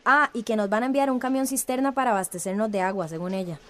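A woman's voice: fairly high pitch, fast, lightly acted. A woman with a Central American accent playing an earnest, cautious young community activist in a radio drama.

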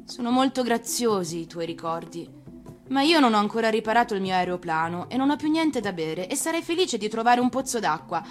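A woman's voice: a little high, expressive, fairly quick, faint music behind, pitched above the performer's own voice, natural voice. A woman voicing a stranded male pilot, practical and exasperated, with an emphatic storybook delivery. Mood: worried.

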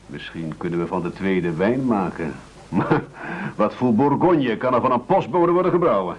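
A man's voice: on the low side, expressive, normal speed, slightly rough, muffled recording. An actor in a radio play playing a pompous, scheming male leader of hungry castaways, speaking in formal, rhetorical sentences. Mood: sly, bargaining.

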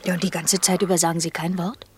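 A woman's voice: fairly low pitch, flat delivery, fast talker, a little hiss or hum, natural voice. An actress playing a reserved, polite woman who acts out the part of wife and mother in an intimate radio drama. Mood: hesitant.

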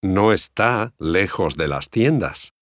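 A man reading scripted phrases for language learners, deliberate and clearly enunciated. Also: low-pitched, lively intonation, dry recording, studio quality. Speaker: language course model speaker.

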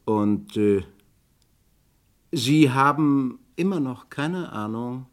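An actor playing a probing, drily skeptical police commissioner in a radio crime drama, questioning in a clipped, businesslike way. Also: male voice, mid-range voice, lively intonation, extremely slow. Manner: clipped, matter-of-fact questioning.

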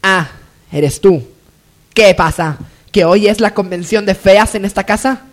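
A woman playing a haughty, spiteful lady of the hacienda in a radio soap, sharp-tongued and disdainful. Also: mid-range voice, measured pace, close to an everyday voice. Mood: irritated, scornful. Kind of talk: radionovela melodrama acting.

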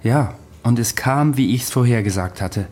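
A man as a blunt, sceptical soldier, mid-pitched. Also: moderate pace, darkish tone, lightly acted. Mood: sober recounting. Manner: blunt, sober, cautioning. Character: world-weary, blunt soldier, Napoleon's sceptical adviser Longinus. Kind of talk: radio drama acting and narration.

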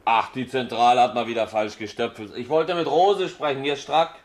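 A man's voice: medium pitch, fairly even intonation, brisk, lightly acted. An actor in a 1950s German radio crime drama playing a brusque, world-weary newspaper man with an offhand, dry manner. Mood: curt, businesslike. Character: brusque, world-weary male newspaper reporter, casually impatient. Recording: noisy old 1950s radio recording.

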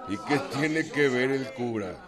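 Actor as slow-talking, commanding Mexican general. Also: male voice, mid-range voice, animated, natural voice. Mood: stern, confronting. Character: commanding male revolutionary general, stern, folksy, mocking. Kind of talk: radio drama acting. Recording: loud music and crowd behind.

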